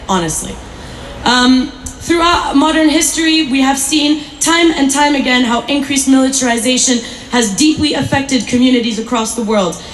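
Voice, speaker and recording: A woman delivering an impassioned, prepared protest speech with emphatic, rhetorical phrasing. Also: high-pitched. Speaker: activist speaker at a climate rally. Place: outdoor city centre rally in rain. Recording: echoey outdoor PA with noise.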